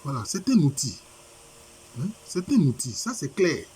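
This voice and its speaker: A man's voice: on the high side, huge pitch swings, moderate pace, mellow, slightly rough. A man preaching a sermon by video conference while suffering from a head cold, repeating points and asking rhetorical questions. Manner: repetitive, emphatic, rhetorical questions.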